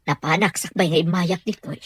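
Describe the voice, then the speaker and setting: A woman's voice: fairly low pitch, steady tone, fairly quick, husky. A woman with an Ilocano Filipino accent playing a caring, worried middle-aged mother in an audio soap drama.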